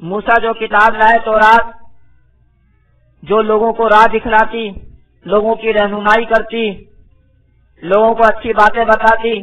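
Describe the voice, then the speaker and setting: A man's voice: high voice, completely flat delivery, unhurried, long pauses, a bit bright, lo-fi sound, faint music behind. A man giving a religious lecture as a Quran teacher, reciting verses phrase by phrase, then translating and explaining them with didactic repetition. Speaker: Islamic scholar, Quran teacher.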